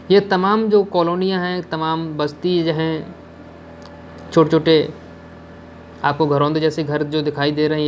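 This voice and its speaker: A young man talks chattily to his viewers while out on a hill road, pointing out views and handing out safety tips. His voice sits a little higher than most men's, with a faint hiss of outdoor air behind him. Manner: chatty, addresses viewers, gives safety advice. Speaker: travel vlogger. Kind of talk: narrated travel vlog.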